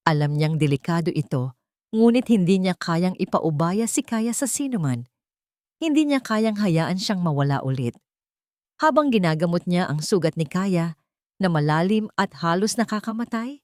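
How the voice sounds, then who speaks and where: A woman reading a romantic drama story in a steady, dramatic storytelling manner. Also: fairly low pitch, no room sound, studio quality. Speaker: narrator. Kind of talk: scripted story narration.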